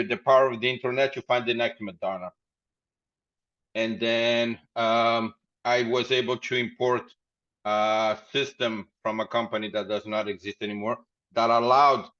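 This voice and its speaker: Rambling man on a dull call. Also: mid-range voice. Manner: rambling, animated, laughs often. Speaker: podcast guest, company founder. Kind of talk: casual interview storytelling. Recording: dull lo-fi sound.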